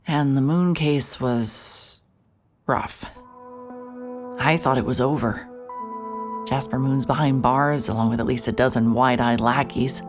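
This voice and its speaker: A woman doing a cynical wisecracking PI, very deep voice, music underneath. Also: steady tone, measured pace, pauses often. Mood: wry narration. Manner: sarcastic, dry, wisecracking. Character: sardonic hard-hearted woman private investigator. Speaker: private investigator. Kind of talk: audio drama acting and first-person narration.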